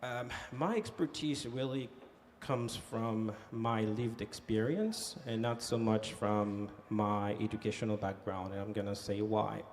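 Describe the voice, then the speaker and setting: A man's voice: lowish voice, unhurried, slight background noise. A man from Haiti speaking on a conference panel as an economic development director, telling his story with many fillers. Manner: animated storytelling with frequent fillers. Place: conference hall.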